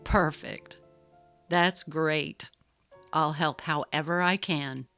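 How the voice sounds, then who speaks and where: A woman voicing a gentle, concerned and supportive husband in a dramatically read story. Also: sits low, fairly even intonation, slow pace, pitched below the performer's own voice, exaggerated voice. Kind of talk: dramatic reading of a text-message story. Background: music.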